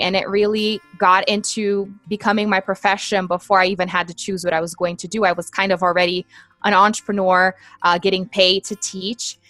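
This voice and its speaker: A woman's voice: medium pitch, a bit bright, no echo. Woman telling her story earnestly. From Brazil. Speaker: guest, empowerment coach. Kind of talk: interview conversation.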